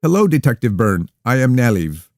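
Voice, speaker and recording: A man voicing a calm, mysterious young woman who speaks formally and rhythmically. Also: mid-range voice, no room sound, clean studio sound, pitched above the performer's own voice. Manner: formal, rhythmic, unhurried delivery.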